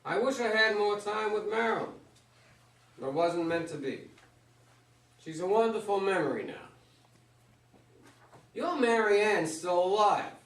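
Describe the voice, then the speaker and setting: Guy acting as old retired baseball great in a stage play, high voice, folksy advice, echoey and distant. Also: lively intonation, a little slow, long pauses. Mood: wistful. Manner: plainspoken, folksy advice-giving. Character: retired baseball legend, older man, plainspoken, avuncular. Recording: roomy stage, far from microphone.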